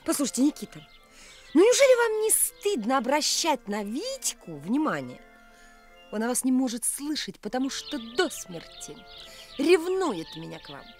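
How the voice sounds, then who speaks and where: A woman's voice: sits high, huge pitch swings, moderate pace, faint music behind. An actress playing a capricious, teasing, bored young provincial woman in a radio play, mocking and imperious. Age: young adult.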